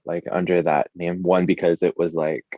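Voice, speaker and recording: Low voiced guy chatting in an interview, super slangy, says like a lot, muffled sound. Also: flat delivery, moderate pace, no room sound. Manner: informal, slangy, hesitant, full of "like". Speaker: musician, interview guest. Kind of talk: casual chat.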